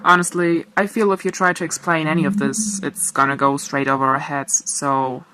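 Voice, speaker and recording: A man's voice: fairly high pitch, little pitch movement, normal speed, lightly acted. A man playing a brash, loyal teenage superhero in an audio drama, talking in casual slang. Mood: easygoing, earnest. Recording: clear background noise, clicks.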